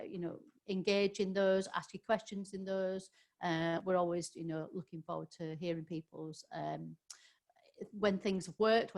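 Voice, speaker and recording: A middle-aged woman with a Yorkshire accent leads an online training session. Her voice sits a little low, and her delivery is loose and conversational, full of fillers and self-corrections. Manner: chatty, many ums and restarts. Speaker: webinar trainer. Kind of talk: live online webinar presentation.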